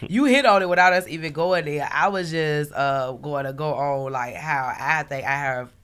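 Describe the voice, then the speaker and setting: A woman with a deep voice hosting a podcast, talking earnestly and reflectively about her own mistakes, sometimes drawing words out slowly. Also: fairly bright tone, smooth. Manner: earnest, reflective, self-critical storytelling with frequent laughs. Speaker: podcast host. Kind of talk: casual podcast chat.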